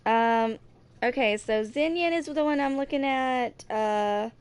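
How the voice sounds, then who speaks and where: Clear, higher-voiced young woman, rambling casually. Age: 20s. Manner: halting, distracted thinking aloud. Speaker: gaming video creator. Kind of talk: casual rambling game commentary.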